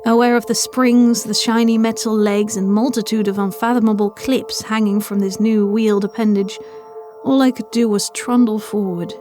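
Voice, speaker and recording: A woman with a fairly high voice reads first-person horror fiction as a story narrator. Music runs underneath her scripted narration. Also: steady tone, moderate pace, mellow.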